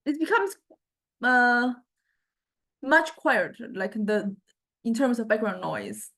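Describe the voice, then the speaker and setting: Woman, slightly high voice, lecturer, tutorial explanation, halting, frequent check-ins. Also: sing-song, fairly bright tone. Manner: hesitant, halting delivery with repeated check-ins.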